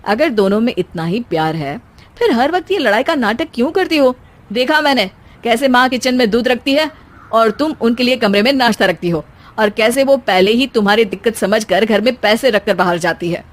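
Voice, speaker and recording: A woman voicing an exasperated young husband who scolds wearily and wryly in an animated family comedy story. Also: on the high side, a little fast, natural voice. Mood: wry, knowing. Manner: weary, scolding, wry. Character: exasperated young husband refereeing wife and mother.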